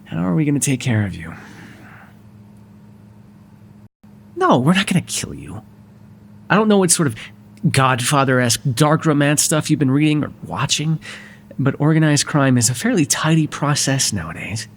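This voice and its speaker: A man's voice: on the high side, expressive, fairly quick, slight background noise. A man playing a wry, courteous but exasperated mafia boss in an ASMR roleplay, sardonic and conversational in manner. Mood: wry, explaining.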